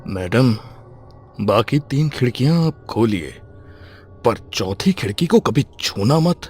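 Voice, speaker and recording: Man acting a slow, ominous old morgue attendant. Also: medium pitch, expressive, darkish tone, faint music behind. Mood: grave warning. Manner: ominous, solemn warning. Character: grave, warning old male morgue attendant. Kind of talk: cartoon horror story dialogue.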